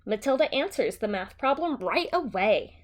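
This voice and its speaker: A woman teacher reading a simplified children's story aloud and explaining it in a deliberate, simple way for young children. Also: fairly high pitch, sing-song.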